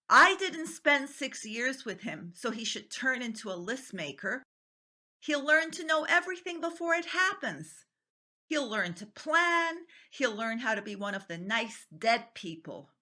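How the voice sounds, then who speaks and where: A woman's voice: fairly high pitch, pushed and bright, full character voice. A woman performing a stage monologue as a feisty, nonconformist out-of-work writer, talking in an emphatic, impassioned way. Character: unemployed nonconformist writer pleading to keep beloved nephew, feisty. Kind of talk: dramatic stage monologue reading.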